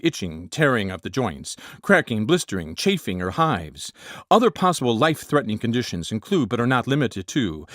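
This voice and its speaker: A man playing a matter-of-fact announcer in a parody pharmaceutical commercial, reading lists of warnings in deadpan. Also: mid-range voice, dry recording, clean studio sound. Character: deadpan drug-commercial announcer reading absurd side effects.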